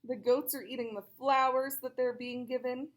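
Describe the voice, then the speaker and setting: High-voiced woman reading a picture book aloud. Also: normal speed. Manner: expressive, chatty, explains words and pictures to young listeners. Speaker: read-aloud storyteller. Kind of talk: picture book read-aloud with casual asides.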